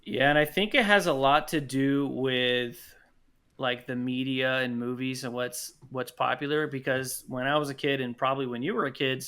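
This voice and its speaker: Bright-voiced man, casual podcast guest. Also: mid-range voice, steady tone, normal speed, clean tone. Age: 30s. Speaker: podcast guest, audio drama producer. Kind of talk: casual chat.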